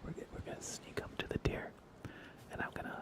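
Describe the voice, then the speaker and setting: A man speaking in a soft, breathy whisper, giving unhurried, gently playful ASMR commentary while he plays a video game for viewers. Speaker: livestreamer playing a video game.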